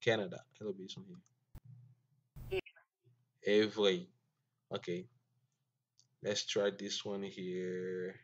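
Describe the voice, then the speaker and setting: Slow, halting man teaching a language. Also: sits low, little pitch movement. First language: Ewe. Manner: slow, halting, many fillers and restarts. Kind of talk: informal language lesson. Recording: dull lo-fi recording.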